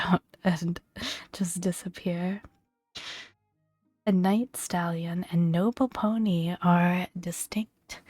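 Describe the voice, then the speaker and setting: Hushed, slow-paced woman doing soft ASMR. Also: on the low side, dry recording. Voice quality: soft, breathy, often near a whisper. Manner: gentle, hushed delivery. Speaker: video creator and narrator. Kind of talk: soft-spoken ASMR video game commentary. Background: soft background music.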